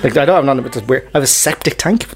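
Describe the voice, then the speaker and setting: A man with a Dublin accent, hosting a casual podcast chat and telling stories in a chatty way. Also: mid-range voice. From Dublin, Ireland. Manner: rapid, chatty storytelling. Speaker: podcast host.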